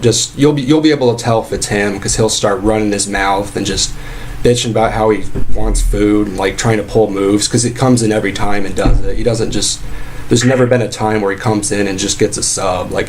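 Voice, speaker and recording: A man acting as an aggrieved fast-food worker with a fairly low voice, lodging a casual complaint. He rambles and stalls, repeating himself as he goes, over a noticeably noisy recording of a prank call. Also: close to an everyday voice. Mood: earnest, indignant. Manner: rambling, halting, repetitive. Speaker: prank caller posing as a sandwich shop employee.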